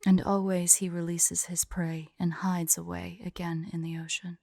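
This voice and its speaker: A woman voicing a proud, wistful dragon in an ASMR roleplay, speaking in a formal, measured way with breathy, hushed drops. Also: fairly low pitch, flat delivery, no room sound, studio quality. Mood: solemn storytelling. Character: proud, wistful female dragon hoarding treasure, tsundere. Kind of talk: ASMR roleplay with slow myth storytelling.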